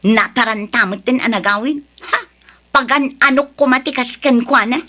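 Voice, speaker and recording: A demanding young mother in an Ilocano radio drama. Her voice is forceful and a bit high, with an Ilocano accent and a snobbish, sharp temper. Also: moderate pace. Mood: scornful.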